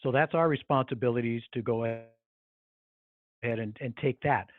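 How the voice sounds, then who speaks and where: A man's voice: mid-range voice, fairly even intonation, moderate pace, no echo. A man presenting informally on a business conference call, talking in a hesitant, rambling, conversational way. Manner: hesitant, rambling, conversational with frequent "you know". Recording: tinny phone-line conference call.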